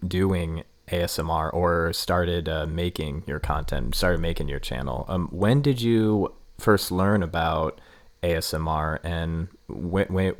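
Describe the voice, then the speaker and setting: A man with a Midwestern American accent and a very low, deep voice hosts a relaxed interview podcast. He talks in halting bursts, leaning on plenty of filler words as he goes. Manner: hesitant, heavy with filler words. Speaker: podcast host. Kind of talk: casual interview chat.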